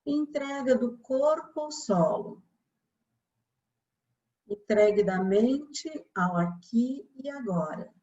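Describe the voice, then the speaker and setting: Brazilian female yoga instructor, very unhurried and soothing, for guided relaxation; slightly muffled, lo-fi recording. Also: on the high side. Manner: calm, soothing instruction with long pauses.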